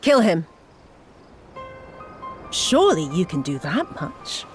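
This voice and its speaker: An actress playing a cruel, sadistic imperial official in a video game, mocking and theatrical in her drawn-out delivery. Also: fairly low pitch, very animated, soft background music. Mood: cold, mocking.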